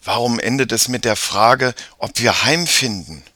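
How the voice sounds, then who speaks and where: A man's voice: mid-range voice, a little slow, bright, forceful tone, no echo, clean studio sound. A man voicing a sceptical, puzzled young boy in a children's audiobook, speaking emphatically. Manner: emphatic, exclaiming.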